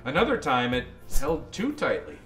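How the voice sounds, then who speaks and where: A man reading a children's picture book aloud in an expressive, dramatic and deliberate way. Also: mid-range voice, roomy sound, faint music behind. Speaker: storyteller.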